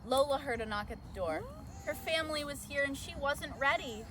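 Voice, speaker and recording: High-voiced woman reading a children's story outdoors. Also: a little fast. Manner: expressive, acts out sound words and dialogue. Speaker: volunteer storyteller. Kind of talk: children's read-aloud storytelling. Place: outdoors at an orchard event. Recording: noisy outdoor recording with ambient sounds. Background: outdoor ambience and background noise.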